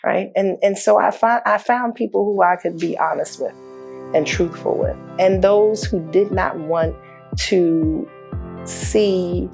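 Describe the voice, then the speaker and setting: Earnest woman with a gravelly edge. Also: mid-range voice, slow, darkish tone, faint music behind. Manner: earnest, repeats words as she speaks. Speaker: mayor, guest. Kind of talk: candid interview talk.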